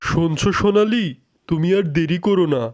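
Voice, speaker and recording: A man's voice: high-pitched, normal speed, darkish tone, close to an everyday voice. A man voicing a doting, teasing, poor fisherman father in a cartoon. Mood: pleased. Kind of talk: cartoon character dialogue.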